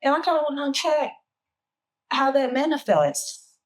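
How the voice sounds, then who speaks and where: A hoarse woman hosting a casual roundtable talk, reflective and searching for words. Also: high-pitched, sing-song, normal speed. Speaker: podcast host.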